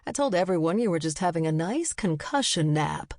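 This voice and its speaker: A woman doing a calm friendly doctor. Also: lowish voice, a little fast, dry recording, clean studio sound, close to an everyday voice. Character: calm friendly male doctor, middle-aged.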